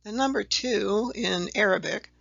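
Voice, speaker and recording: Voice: mid-range voice, a little slow, vocal fry, fairly bright tone, breathy edge, no echo, dull audio. A presenter giving a slide-by-slide voice-over explanation of a table, methodical and step by step, sometimes correcting themselves. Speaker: video presenter explaining a letter-number table.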